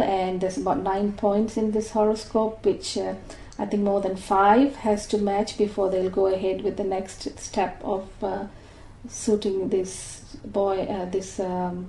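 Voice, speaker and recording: A woman's voice: mid-range voice, mellow, slight background noise. Give me a woman in her sixties from Malaysia, talking thoughtfully in an interview, recorded in an echoey room. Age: 60s. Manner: thoughtful, with many fillers and restarts. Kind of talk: oral history interview.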